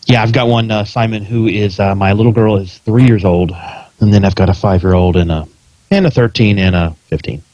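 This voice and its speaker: Male podcast host, American, middle-aged, lowish voice, informal chat, slightly muffled sound. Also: brisk, darkish tone, no room sound. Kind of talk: casual pre-show chat.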